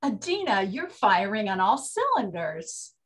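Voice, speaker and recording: An actress playing a brash, slangy speakeasy proprietress of the 1920s in a comic period drama. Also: female voice, medium pitch, big pitch swings, measured pace. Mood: bossy, brisk. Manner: bossy, slangy. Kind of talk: period comedy radio-play acting.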